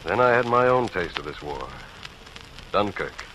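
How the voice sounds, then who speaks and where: Humble english village dad, 40s radio drama, deep but bright voice, slight noise. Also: male voice, lively intonation, a little slow. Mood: earnest, reflective. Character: modest, earnest English village father in wartime. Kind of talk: 1940s radio dramatic monologue. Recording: faintly noisy 1940s radio recording.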